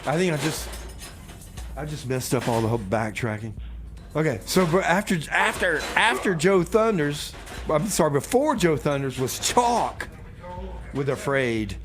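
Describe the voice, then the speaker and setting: A man's voice: fairly high pitch, animated, unhurried. A man playing an earnest radio DJ, chatting casually, rambling and repeating himself. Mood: chatty. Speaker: radio show host and DJ. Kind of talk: casual improvised radio banter.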